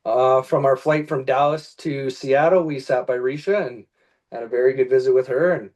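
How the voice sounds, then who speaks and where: A man telling a relaxed story on a video call, pausing with fillers. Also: medium pitch. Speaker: team member on a call.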